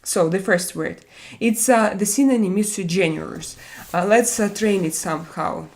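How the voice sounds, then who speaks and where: Live-stream host, Russian woman with a Russian accent, English learner, halting delivery full of fillers, casual chat from home. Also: mid-range voice, a little mellow. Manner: halting, frequent "uh" fillers while searching for English words.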